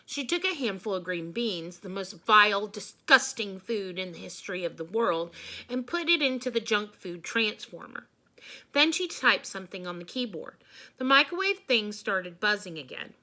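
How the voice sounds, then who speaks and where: A woman reading a children's storybook aloud in an expressive, emphatic way, like a teacher addressing young listeners. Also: mid-range voice, normal speed.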